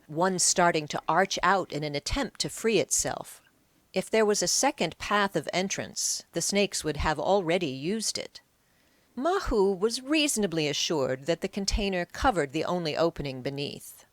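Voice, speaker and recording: A woman's voice: on the low side, no room sound. A woman narrating an audiobook in a dramatic, scripted storytelling style.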